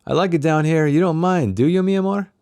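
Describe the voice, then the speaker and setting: A man acts out a brash young-at-heart ex-soldier on a night out. The voice is raised and lifted in pitch, eager and playful, in a dry audiobook-style reading. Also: a little fast, warm tone, clear, resonant tone, dry recording, lightly acted.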